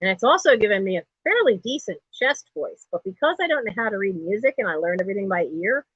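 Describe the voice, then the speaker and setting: A middle-aged woman with a low speaking voice hosts a live online sale from home, wandering from one story to the next and laughing often. Her pitch sits in a middling range. The stream audio is dry and a bit dull and lo-fi. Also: lively intonation, fast, husky, no echo. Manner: chatty and digressive, laughs often. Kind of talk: rambling casual live-stream chat.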